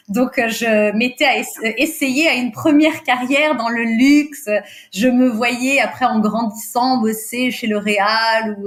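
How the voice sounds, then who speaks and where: A woman in her thirties, a native Portuguese speaker, talking as a podcast guest in a relaxed, hesitant way, with many fillers and easy laughter. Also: on the high side. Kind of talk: casual interview chat.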